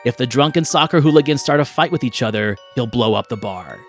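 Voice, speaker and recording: A man hosting a podcast, chatting casually about films and pitching ideas with animated, vivid storytelling. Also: a little fast, faint music behind. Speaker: podcast host. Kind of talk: casual film chat and movie pitching.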